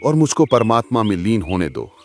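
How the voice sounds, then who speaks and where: A man's voice: lowish voice, big pitch swings, fairly quick, a little mellow, a little hiss or hum. A man voicing a sly, lazy thieving animal who poses as a holy guru in a cartoon, with a pompous, self-satisfied delivery. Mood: pompous, pious. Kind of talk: Hindi cartoon story acting.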